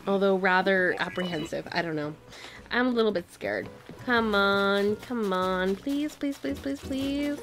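A woman streaming a game, chatting casually, playfully dramatic and pleading, her voice going hoarse. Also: mid-range voice, measured pace, fairly bright tone. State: losing her voice. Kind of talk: casual gameplay commentary. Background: game music.

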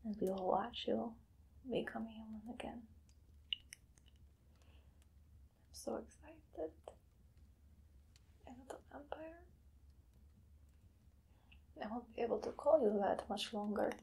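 A woman in her thirties whispering as a zealous female vampire hunter in an ASMR roleplay, slow and deliberate. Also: fairly high pitch, vocal fry, dark tone, a little hiss or hum. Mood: hopeful. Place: small dim room indoors.

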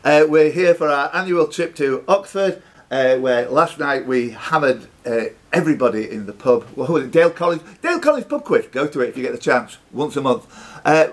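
A man's voice: on the high side, expressive, fairly quick. A man in his fifties hosting a live pub quiz, reading out questions with jokey, teasing banter. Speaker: quiz master. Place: social club bar room.

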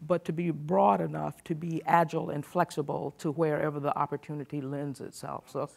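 An older woman on a panel stage talks in a measured, reflective way, often pausing with "uh". Her voice carries a gravelly edge. She speaks into a lapel mic. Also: deep voice, level tone, darkish tone. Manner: measured, thoughtful, with frequent "uh" pauses. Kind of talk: panel discussion.

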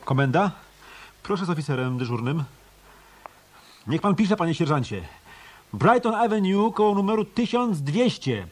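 An actor playing a cool, tough hotel detective in a Polish radio play, speaking tersely, with an unflappable, commanding manner. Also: male voice, high-pitched, sing-song, normal speed, long pauses. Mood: urgent, clipped.

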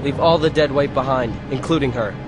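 A man's voice: mid-range voice, fairly quick, close to an everyday voice, rough recording. Casting: teenage male rival man acting, cold and self-assured, mid-range voice, music underneath. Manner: cool, taunting, self-assured. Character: cold, arrogant teenage boy rival turned dark.

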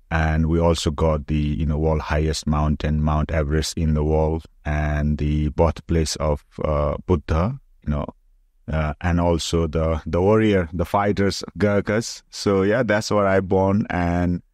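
A middle-aged man from Nepal with a Nepali accent, telling his story casually and haltingly as an interview guest. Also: very deep voice, little pitch movement, a little mellow, no room sound, clean studio sound. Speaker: podcast guest, former soldier. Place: small indoor room with curtains. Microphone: close podcast microphone on arm.

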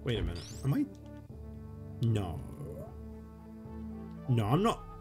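A man rambling casually and swearing while playing a game, his voice going hoarse. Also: medium pitch, wildly swooping pitch, slow, over music. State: losing his voice. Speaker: gaming YouTuber. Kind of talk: casual let's-play commentary.

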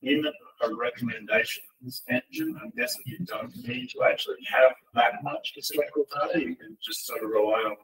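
A man's voice: medium pitch, huge pitch swings, normal speed, gravelly, hardly any pauses, a bit bright. A man in an audience asking technical questions in a casual way. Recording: echoey, distant pickup from the audience.

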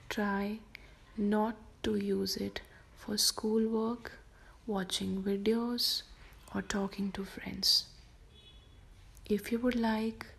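Very slow whispering woman. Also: mid-range voice, vocal fry. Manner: soft whispered delivery; slow and gentle. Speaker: meditation guide. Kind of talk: guided sleep meditation for children.

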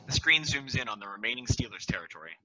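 Man reading stage directions, crisp bright voice, steady, dull video-call sound. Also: mid-range voice, fast talker. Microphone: video call headset.

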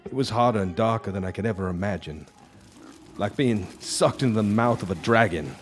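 Man playing a very deep-voiced, haunted drinker. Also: a little fast, soft background music, natural voice. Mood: anguished, intense. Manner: brooding, theatrical storytelling. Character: haunted, bitter, hard-drinking male guitarist telling his tale. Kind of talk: noir radio drama monologue. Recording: live stage recording with background noise.

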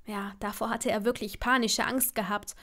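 A woman's voice: on the high side, fairly even intonation, moderate pace, a bit bright. A woman reading scripted story narration as the narrator of an audio drama.

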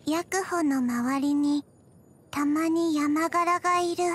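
Slow, high-voiced, deadpan undead little girl. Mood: flat, drowsy. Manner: halting, deadpan, soft-spoken with frequent pauses. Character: small undead zombie girl, gentle, forgetful, emotionless. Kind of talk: scripted video game character voice lines.

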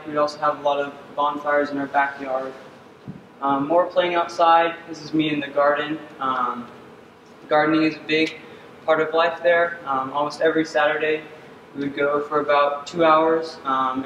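A young man from Uganda giving a senior capstone presentation on a dark stage, earnest and often pausing with ums. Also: a little high, level tone, muffled recording. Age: young adult. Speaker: student presenter. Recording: distant echoey hall with ambient noise.

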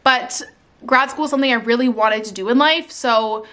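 A young Canadian woman gives casual life updates to the camera from her living room sofa. She talks chattily with many fillers. Her voice is bright and a little high. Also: normal speed. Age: young adult. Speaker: vlogger. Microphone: camera in front, seated.

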